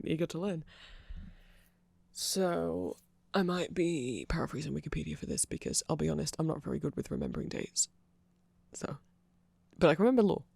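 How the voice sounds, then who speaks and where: A nerdy, hesitant vampire played by a man talks softly in an ASMR roleplay. The voice is creaky and very dry, close to the mic. He rambles in a self-deprecating, friendly way to one listener. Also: on the high side, no room sound, heavily acted. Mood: rambling, unsure. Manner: halting, self-deprecating rambling with small laughs. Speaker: ASMR audio roleplay performer. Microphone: close microphone.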